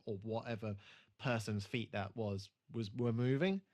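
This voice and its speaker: A man's voice: lowish voice, a little slow, darkish tone. A man co-hosting a casual TV re-watch discussion, talking in an analytical, hedging way. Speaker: podcast co-host.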